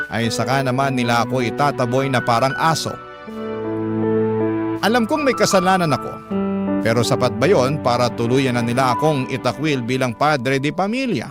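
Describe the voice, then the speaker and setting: A man's voice: mid-range voice, natural voice. A man playing a remorseful father, narrating his own story in a sorrowful, confessional radio drama style. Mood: wounded. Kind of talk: scripted first-person radio drama narration. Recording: loud music under voice.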